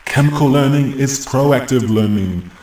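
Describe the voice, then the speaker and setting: A man's voice: medium pitch, measured pace, a little mellow, natural voice. A man playing a pompous, self-important university chancellor giving a theatrical speech in a comic audio drama. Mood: self-satisfied. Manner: pompous, declamatory. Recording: echoey, distant mic, crowd, faint noise.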